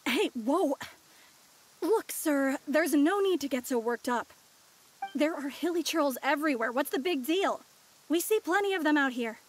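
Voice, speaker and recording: A suspicious young-sounding game patrol ranger, high in pitch and brusque. She challenges people indignantly over clear background noise. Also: animated, a little slow. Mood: placating, dismissive. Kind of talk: video game voice acting.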